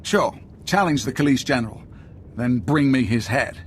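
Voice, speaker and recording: A man's voice: medium pitch, expressive, normal speed, a little hiss or hum, lightly acted. A man playing a proud, impatient Imperial military officer in a video game, clipped, authoritative and disdainful. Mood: indignant, disdainful. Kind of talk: video game cutscene acting.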